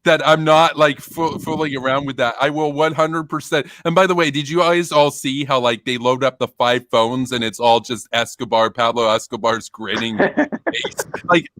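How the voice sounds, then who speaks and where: A man hosting a casual stream, talking in a rambling, animated way with many fillers and restarts. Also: medium pitch, moderate pace, dry recording. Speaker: stream host. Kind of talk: casual chat.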